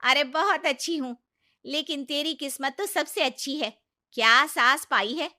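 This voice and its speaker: A nosy older auntie played by a woman gushes in a storybook drama. Her voice is high and piercingly bright, and she speaks slowly with heavy emphasis. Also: full character voice. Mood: gushing, teasing. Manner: gushing, emphatic. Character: effusive, nosy older auntie, friend of the mother-in-law. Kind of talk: acted storybook dialogue.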